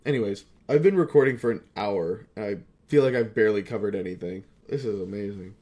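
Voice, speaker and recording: A man's voice: fairly low pitch, fast, mellow. Candid, sweary man rambling casually. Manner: candid, self-deprecating, sweary. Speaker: voice actor reflecting on hobby career. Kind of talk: rambling, confessional casual monologue.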